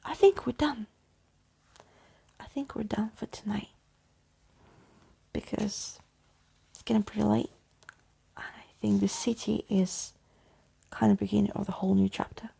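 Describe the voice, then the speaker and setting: Very creaky, soft-spoken woman reading bedtime stories. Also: mid-range voice, a little slow, pauses often, whispered. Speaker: storyteller.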